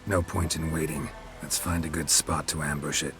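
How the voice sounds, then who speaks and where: A gruff, terse monster hunter. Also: male voice, extremely low pitch, normal speed. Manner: terse, clipped, flat delivery. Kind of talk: video game voice acting. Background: game music.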